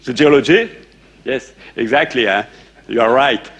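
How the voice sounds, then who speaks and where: A man lectures a conference audience in English. He talks haltingly and restarts his sentences often, with plenty of uh and eh. Now and then he stops to ask the room a question. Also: mid-range voice, slightly rough. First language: French. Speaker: conference speaker.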